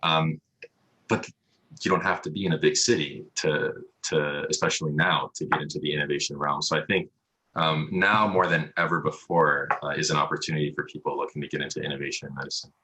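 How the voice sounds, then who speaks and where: A man's voice: a bass voice, steady tone, fairly quick, pushed and bright, slightly rough, rough recording. A man answering questions as a medical specialist in a casual interview over a video call, explaining things with frequent asides. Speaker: emergency radiologist, interview guest. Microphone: video call microphone.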